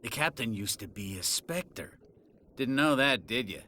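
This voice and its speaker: A man's voice: medium pitch, lively intonation, a little slow, noisy background, close to an everyday voice. An actor playing a disgraced middle-aged policeman in a video game, boozy, sardonic and sneering. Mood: sardonic, bitter.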